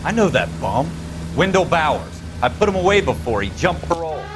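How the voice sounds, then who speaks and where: An actor playing a brisk, streetwise patrol cop in a video game drama, with a plain, tough manner. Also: male voice, a little high, sing-song, background noise. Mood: urgent.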